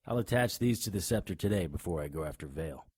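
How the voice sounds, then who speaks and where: Grim tough-guy monster hunter, low raspy voice, wisecracks, dry close audio drama sound. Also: expressive, fast, darkish tone, dry recording. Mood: cocky. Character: hard-boiled male monster hunter, grim, cocky, threatening. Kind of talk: audio drama acting with noir first-person narration.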